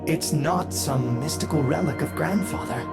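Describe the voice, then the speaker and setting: An actor playing a sardonic, morbidly playful son in a video game, with a theatrical, drawn-out delivery. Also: male voice, a little high, over loud music, close to an everyday voice. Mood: dismissive. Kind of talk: dramatic video game character acting.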